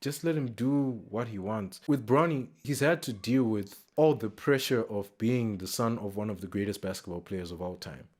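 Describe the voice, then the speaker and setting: A young man with a fairly low voice gives off-the-cuff commentary as a reaction host. He is measured and reflective, letting his sentences tail away in a casual manner. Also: darkish tone. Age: young adult.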